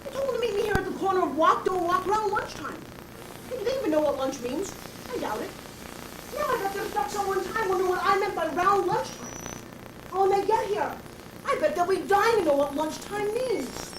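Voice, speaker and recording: A woman playing an eccentric, brash homeless bag lady with a raspy voice, who talks straight at the passersby in a comic stage monologue. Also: extremely high pitch, animated, a little fast, reverberant, distant mic, noisy background. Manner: confrontational, addresses passersby directly. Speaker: actress performing a stage monologue.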